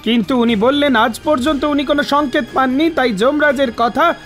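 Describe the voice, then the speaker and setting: An actor voicing a dutiful male messenger of the death god, speaking formally in an animated mythological story. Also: high-pitched, normal speed, soft background music. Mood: deferential.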